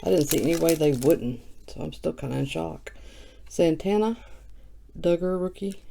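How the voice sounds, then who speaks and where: A woman who has just woken up, with a low morning voice, chatting casually while opening trading cards on camera. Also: moderate pace, creaky, mellow, slight background noise. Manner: chatty and self-mocking, reading off card names. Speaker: card breaker. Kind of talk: casual card-opening vlog.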